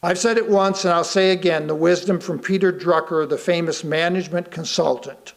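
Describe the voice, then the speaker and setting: A man reading a sermon aloud to a congregation, deliberately, pausing between short phrases. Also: high voice, steady tone, gravelly. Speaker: guest speaker reading a sermon.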